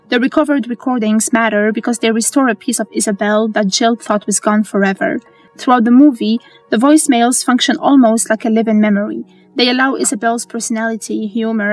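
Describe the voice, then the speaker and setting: A woman reads scripted explainer narration in a measured, earnest way. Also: on the high side, rough recording. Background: music.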